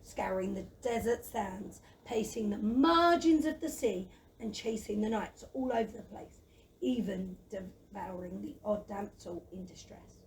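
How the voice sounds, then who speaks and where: A woman in a children's audio play voicing a gentle, lazy, timid dragon who chatters in a plaintive, excitable, wordy way. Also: medium pitch, unhurried, natural voice. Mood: chatty, confiding. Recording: noisy, slightly distant microphone.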